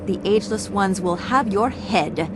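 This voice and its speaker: A woman voicing a proud, vengeful dark elf princess in a fantasy game cutscene, haughty, formal and commanding. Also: medium pitch, measured pace, very noisy, close to an everyday voice. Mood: stern, scheming. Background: loud music.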